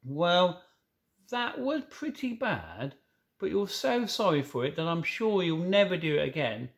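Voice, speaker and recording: A man reading a storybook in the part of an earnest, kindly, innocent young girl, gentle and questioning. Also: sits high, sing-song, a little slow, creaky, pitched above the performer's own voice, full character voice. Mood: gently reassuring.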